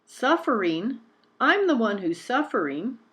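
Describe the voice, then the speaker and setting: A woman's voice: a little high, big pitch swings, a little slow, fairly bright tone, pitched above the performer's own voice. A woman voicing a spirited, indignant young girl in a storybook, emphatic and earnest. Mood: exasperated complaint.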